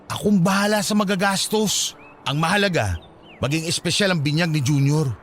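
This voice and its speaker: A man's voice: fairly high pitch, normal speed, fairly bright tone, slight background noise, close to an everyday voice. A man playing a boastful, dismissive young Filipino husband and new father, insistent and argumentative, in a radio drama. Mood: firm, assured.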